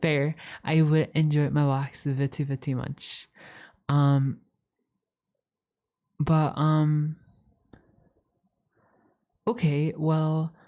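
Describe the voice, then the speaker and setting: A woman plays a young catboy roommate in a casual ASMR roleplay, with a very deep voice and a soft, dark tone. He is playful and a little needy, rambling along, stammering and doubling back on his words. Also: level tone, very slow, long pauses, full character voice. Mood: earnest, friendly.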